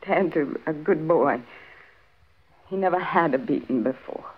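A woman's voice: low voice, expressive, unhurried, slightly rough, heavily acted. Protective rural American pioneer mother, anxious and obstinate, dull vintage western radio sound. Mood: worried, stubborn. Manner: plain-spoken, hesitant, protective. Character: anxious, stubborn frontier mother. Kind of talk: 1950s radio western acting. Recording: dull 1950s radio recording.

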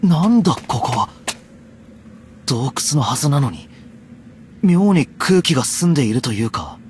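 An actor playing a stern, protective young knight in an anime game, earnest and commanding. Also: male voice, medium pitch, sing-song, unhurried, a little hiss or hum. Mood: composed. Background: loud background music.

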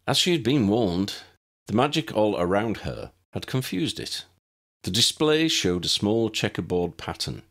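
A man narrating a scripted story in a measured, expressive storybook manner. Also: lowish voice. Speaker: audiobook narrator and author.

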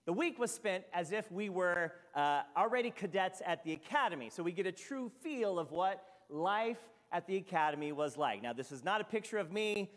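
A fairly high-voiced man in his fifties preaches from a church pulpit, telling stories with animated asides. His voice carries a long hall reverb around it. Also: moderate pace, few pauses. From Hawaii. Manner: animated storytelling with sweeping gestures and asides. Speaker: senior pastor. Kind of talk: sermon.

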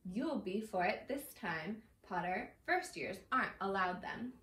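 A woman's voice: mid-range voice, expressive, measured pace, fairly bright tone. A woman voicing a spiteful, sneering schoolboy bully from a storybook, in an echoey room. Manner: sneering, snappish. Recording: roomy echo, distant mic, faint noise.

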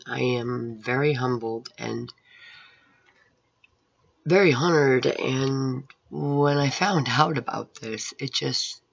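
A middle-aged woman with a raspy, hoarse voice, giving an earnest, rambling personal testimony into a webcam at home. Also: extremely low pitch, dry recording. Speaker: Bible college student. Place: room at home with painted scripture wall. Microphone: webcam at close range. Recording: dull lo-fi webcam audio.

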